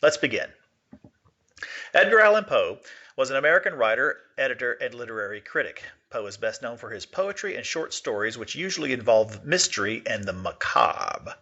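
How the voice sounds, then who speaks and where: A man's voice: mid-range voice, sing-song, moderate pace, pushed and bright. A man reading aloud expressively in the manner of a teacher giving a literature lesson, on a slightly dull recording.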